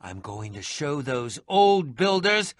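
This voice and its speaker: A man's voice: on the high side, big pitch swings, slow pace, a bit bright, no echo, studio quality, exaggerated voice. An actor playing an eccentric, prickly male adventurer in a video game, with a pompous, melodramatic and sardonic manner. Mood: excited, frantic. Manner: theatrical, pompous, sardonic, formal wording. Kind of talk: animated video game voice acting.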